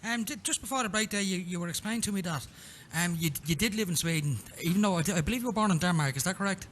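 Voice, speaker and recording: A man with an Irish accent hosting a radio interview in an informal, conversational way, with hesitant restarts, over a noisy line with low rumble. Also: rapid-fire. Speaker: radio show host.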